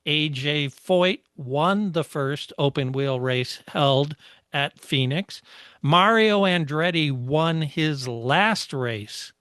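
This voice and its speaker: Slow-talking man, casual racing chat. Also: a little high, fairly bright tone, clear, resonant tone, no echo, studio quality. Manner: measured, explains racing history with many fillers. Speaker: podcast co-host.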